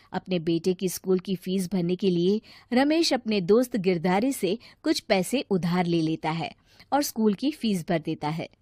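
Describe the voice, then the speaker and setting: Female narrator, medium pitch, scripted storytelling, moral tale, dry studio-like sound. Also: darkish tone, no room sound.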